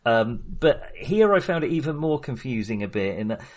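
A man's voice: medium pitch, big pitch swings, moderate pace, darkish tone. A man discussing films casually as a podcast host, in a chatty, hesitant, rambling way.

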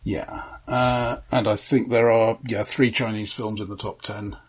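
A man co-hosting a casual film podcast, talking in a measured, analytical and somewhat hesitant way. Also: on the low side, flat delivery, slightly rough. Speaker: podcast co-host.

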